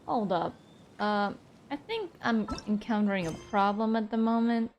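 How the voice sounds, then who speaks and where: A woman's voice: mid-range voice, moderate pace, muffled recording, a little hiss or hum. A woman streaming a video game, chatting casually, exclaiming and laughing often as she plays. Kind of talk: casual gameplay commentary.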